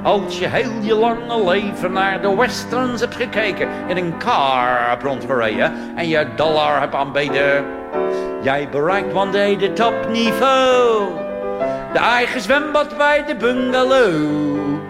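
Brief: man doing a boastful American tourist mangling Dutch, lively swooping pitch, music behind. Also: slow pace, in a large hall. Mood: crooning. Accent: American-flavoured Dutch with English phrases. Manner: broken grammar, drawling, self-satisfied. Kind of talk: cabaret character monologue and song.

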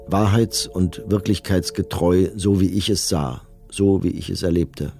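An actor playing a remorseful, self-justifying condemned Nazi camp commandant, reading grave, measured memoirs in a radio documentary. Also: male voice, sits low, fairly even intonation, vocal fry, mellow, faint music behind. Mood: solemn, resigned.

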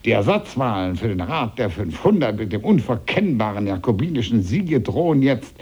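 A man's voice: on the low side, big pitch swings, moderate pace, darkish tone, slightly rough. An actor playing an earnest, scheming French revolutionary statesman, speaking formally and urgently in a historical radio play. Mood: urgent, grave.

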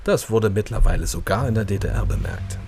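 A man's voice: on the low side, fairly quick, gravelly, background noise. An actor playing a wry, guarded man who talks in clipped phrases in a German radio crime drama. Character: male acquaintance of a dead singer, wry, guarded.

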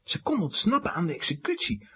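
An earnest young boy from a children's radio play. His voice is very husky and swings widely in pitch as he reads aloud. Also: wildly swooping pitch, fairly quick, sharp tone, full character voice. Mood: earnest, intrigued. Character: Suske, studious young boy reading aloud. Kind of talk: children's audio drama acting.

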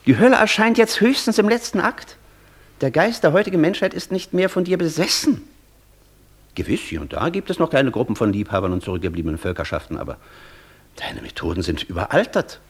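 A man's voice: a little high, animated, a little fast, slightly rough. A man acting a proud, cool intellectual in a German radio play, with a declamatory, argumentative and precise delivery. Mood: challenging, superior. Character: proud intellectual scholar, cool, challenging the Devil.

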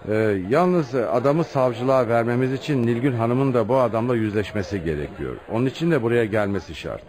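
Man playing a firm mid-pitched police superintendent. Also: animated, a little mellow, close to an everyday voice. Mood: businesslike. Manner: authoritative, measured, pressing. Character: firm, patient male police superintendent interrogating. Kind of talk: Turkish radio theatre acting.

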